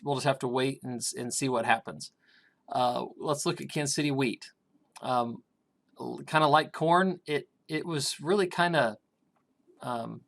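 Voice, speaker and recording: Raspy-voiced man walking through market charts. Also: medium pitch, fairly bright tone. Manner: hesitant, many ums and restarts. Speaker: grain market consultant. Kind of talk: casual market commentary over charts.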